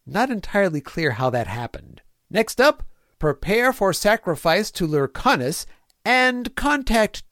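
A man performs a small-town mayor who is cheerfully evil and fussy about cleanliness. His voice sits a little high and swings expressively through clipped, folksy diary entries in a comic fan-fiction reading. The sound is dry and close. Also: fairly bright tone, no echo, exaggerated voice. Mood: fussy, mildly peeved. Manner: folksy, prim, clipped list-like diary delivery. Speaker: fan audio drama voice actor.

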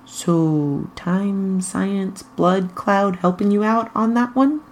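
Woman reading a smooth, wary male ex-villain. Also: mid-range voice, takes it slowly, darkish tone, voice pushed up, close to an everyday voice. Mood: smooth, probing. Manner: smooth, guarded, smug. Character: smooth, wary, smirking man, reformed villain.

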